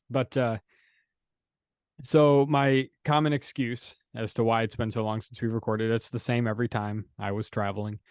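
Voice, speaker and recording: A man co-hosting a podcast with a slightly rough voice, chatting in a playful, joking way. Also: medium pitch, fairly even intonation, unhurried, darkish tone, no room sound, studio quality. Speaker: podcast co-host. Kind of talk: casual podcast chat.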